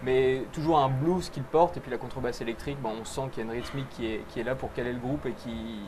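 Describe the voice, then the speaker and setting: A man giving lively music commentary on a live talk show, upbeat and quick to laugh. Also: mid-range voice, background noise. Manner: enthusiastic, laughs easily. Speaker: music columnist. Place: live event on a boat.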